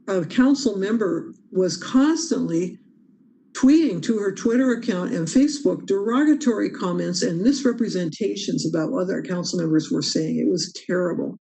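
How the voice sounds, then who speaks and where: A woman's voice: on the low side, normal speed, vocal fry, dark tone, rough recording. A woman presenting a training session on meeting procedure over a video call, earnest and emphatic as she stresses key rules. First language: English. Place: home or office on a video call. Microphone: video call microphone.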